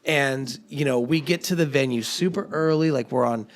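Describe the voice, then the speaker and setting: Talkative man with a slightly raspy voice. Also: mid-range voice, normal speed. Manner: rambling storyteller, many fillers and restarts. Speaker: podcast host and band singer. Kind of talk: casual chat among bandmates.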